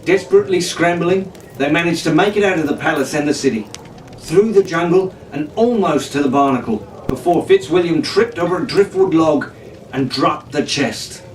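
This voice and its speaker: A man reading a storybook adventure in a dramatic, theatrical, animated way. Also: fairly high pitch, normal speed. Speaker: audiobook narrator reading in character as a pirate captain. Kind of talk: dramatic scripted storybook narration. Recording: roomy, distant, noisy home-style recording.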